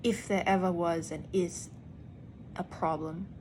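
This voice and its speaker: A woman actor speaking in an earnest, deliberate personal monologue with emphatic pauses. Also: fairly low pitch, steady tone, darkish tone, slight background noise. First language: English. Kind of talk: reflective personal vlog monologue.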